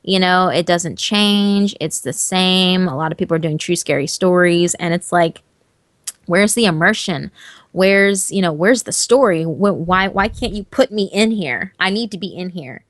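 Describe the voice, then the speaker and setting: A woman who narrates horror stories, chatting as an interview guest in an animated, emphatic way and swearing casually. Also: on the low side, normal speed, dry recording, studio quality.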